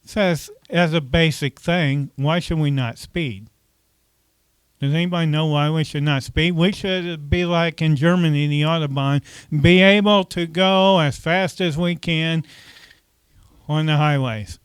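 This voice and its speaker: Man, pastor, conversational sermon, many questions to the congregation, smooth clear voice, dry sound. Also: fairly high pitch, unhurried, no echo. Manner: conversational, frequent "okay" and rhetorical questions.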